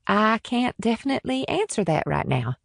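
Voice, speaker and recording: A woman's voice: a little high, very animated, moderate pace, creaky, no room sound, heavily acted. A well-off married mother in an audiobook thriller, acted by a woman, arch and breezy with a defensive edge. The recording is dry, with hardly any room sound.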